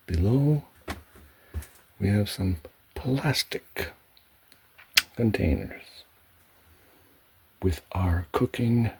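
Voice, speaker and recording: A man giving a soft-spoken ASMR home tour in a hushed, halting way, often slipping into a whisper. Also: fairly low pitch, creaky, breathy edge. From Canada. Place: indoors at home, in a pantry.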